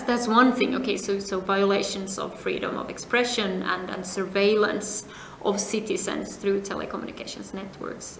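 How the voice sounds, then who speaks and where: Middle-aged woman from Finland, measured interview answers, slightly gravelly tone, recorded in an echoey room. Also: mid-range voice, fairly bright tone. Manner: measured, frequent "uh" pauses, trailing off to a near whisper. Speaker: interviewee, compliance advisor. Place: seminar venue. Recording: echoey room, faint noise.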